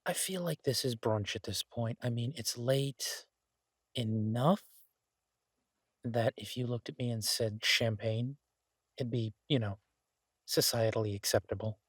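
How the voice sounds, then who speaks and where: A man playing an easygoing, affectionate chef in an intimate boyfriend roleplay audio, talking in a husky, halting, teasing way to a partner. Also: mid-range voice, dry recording. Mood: casual, wistful. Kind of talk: intimate boyfriend roleplay audio, casual one-sided chat.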